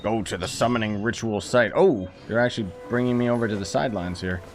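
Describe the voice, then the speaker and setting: A man's voice: fairly low pitch, fast, faint music behind. A man giving casual, sarcastic commentary while he plays a video game. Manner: sarcastic, offhand and joking. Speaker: gaming streamer.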